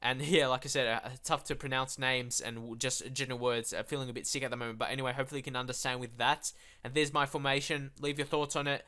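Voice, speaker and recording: A man's voice: mid-range voice, fairly even intonation, quick pace, hardly any pauses, bright, forceful tone. A young man with a blocked nose giving casual, rambling live commentary over a football management game, full of fillers. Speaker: gaming YouTuber.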